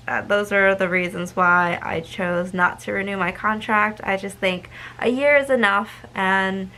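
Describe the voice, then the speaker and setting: Bright-voiced young woman vlogging. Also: medium pitch. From United States. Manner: chatty, with frequent ums and likes. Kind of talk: casual vlog monologue.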